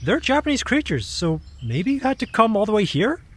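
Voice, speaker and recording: A man voicing an ancient, dry and sceptical mythical creature in an audio drama, husky and theatrical, explaining things in a measured way. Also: high-pitched, sing-song, a bit bright, heavily acted. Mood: musing.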